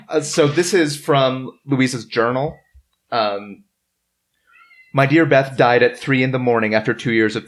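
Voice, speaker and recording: A man hosting a podcast, telling history from notes in a casual, halting way among the group. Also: medium pitch. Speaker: podcast host.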